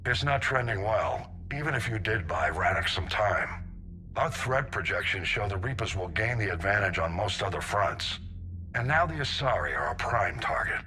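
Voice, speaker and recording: An actor playing a gruff, seasoned older navy admiral in a video game, blunt and authoritative. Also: male voice, fairly low pitch, animated, moderate pace, bright, forceful tone. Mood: grim, frank. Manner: blunt, authoritative military briefing delivery. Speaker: military admiral. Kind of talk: scripted video game acting.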